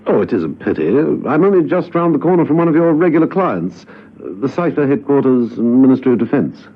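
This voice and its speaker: An actor playing a suave, nonchalant gentleman spy in a radio drama, urbane and drily witty. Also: medium pitch, sing-song. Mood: wry, probing. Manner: urbane, wry, unhurried interjections. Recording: dull, noisy vintage radio recording.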